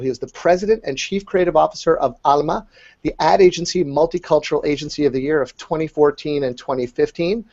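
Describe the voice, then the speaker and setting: Male interview host, upbeat and scripted, a bit high-pitched; dull lo-fi sound. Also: a little mellow. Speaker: show host. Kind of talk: hosting an interview. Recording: dull lo-fi recording.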